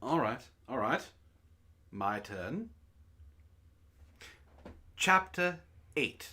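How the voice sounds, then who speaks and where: A man's voice: mid-range voice, big pitch swings, extremely slow, pushed and bright. A man hosting a book club, reading prose aloud dramatically and breaking off into exasperated asides.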